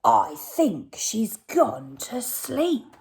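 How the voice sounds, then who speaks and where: A woman voicing Hugh, a bumbling, panicky male robber in a children's storybook, with squeaky, excitable yelps. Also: very slow, vocal fry, full character voice. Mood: flustered.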